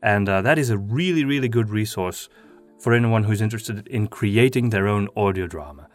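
A man whose first language is Flemish, hosting a podcast and talking about his writing with many pauses and ums. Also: lowish voice, expressive, soft background music. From Flanders. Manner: hesitant, many ums and restarts. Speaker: podcast host and audio drama writer. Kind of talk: casual monologue, then reading his own article.

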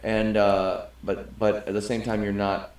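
A man's voice: on the low side, level tone, measured pace, darkish tone. A middle-aged man co-hosting a podcast, talking earnestly in a rambling way and often restarting his sentences. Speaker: podcast co-host. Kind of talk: casual conversation. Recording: roomy, echoey sound.

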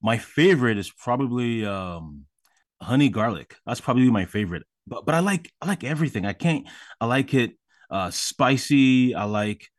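A man's voice: mid-range voice, lively intonation, moderate pace, no echo, clean studio sound. A man from Ghana, a podcast guest, chatting animatedly and emphatically, often restarting his sentences. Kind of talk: casual interview chat.

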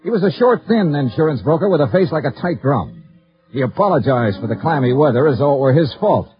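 An actor playing a brisk, wry male insurance investigator in a 1950s radio detective show, clipped and businesslike. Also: on the high side, big pitch swings. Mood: dry, businesslike. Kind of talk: 1950s radio detective acting and first-person narration. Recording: muffled 1950s radio recording.

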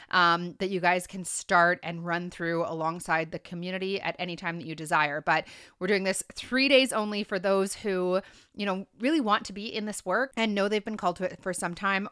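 A woman's voice: fairly low pitch, sharp tone, smooth, no echo, studio quality. A woman hosting a manifestation podcast, enthusiastic and upbeat as she promotes and interviews. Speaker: podcast host.

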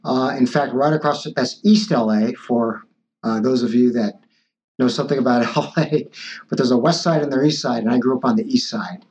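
A man's voice: mid-range voice, normal speed, darkish tone. A man in his sixties, a physician guest, answering interview questions in a hesitant way with many fillers and restarts. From born in Taiwan, raised in Los Angeles. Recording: dull lo-fi audio.

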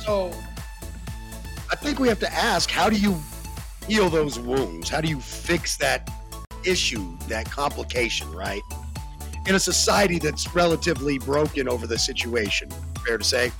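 An American man hosting a casual podcast chat, animated and slangy, with a deep voice that jumps in pitch for emphasis. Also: normal speed, vocal fry, faint music behind. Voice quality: deep bassy voice. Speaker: podcast host.

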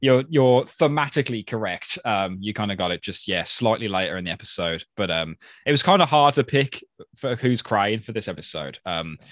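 A man's voice: on the low side, expressive, moderate pace, a bit bright, dry recording, studio quality. A man, hosting a podcast in easygoing chat and reading out listener mail. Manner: easygoing, chatty, with fillers and laughs. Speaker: podcast host.

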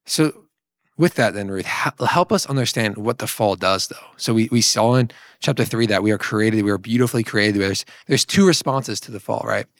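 A man's voice: fairly low pitch, normal speed, no room sound. Emphatic man explaining, dry close sound. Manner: emphatic, explanatory, restates points. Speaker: co-host of a book discussion series.

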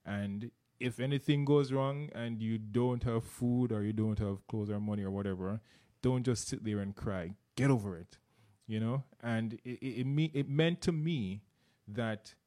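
A man's voice: medium pitch, moderate pace, mellow. A middle-aged man from Jamaica with a Jamaican accent, talking earnestly as an interview guest and often repeating words as he searches for them. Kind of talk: casual interview.

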